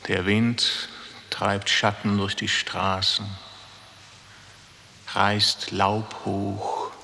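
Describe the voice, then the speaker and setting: Casting: a smug, poetry-minded official; low, creaky, leisurely and sardonic, in a reverberant vintage radio play. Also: male voice, level tone, drawn-out words, bright, forceful tone, heavily acted. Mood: smug, musing. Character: smug, cynical male superior with poetic pretensions. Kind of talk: 1960s German radio drama acting. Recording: reverberant hall, 1960s radio production.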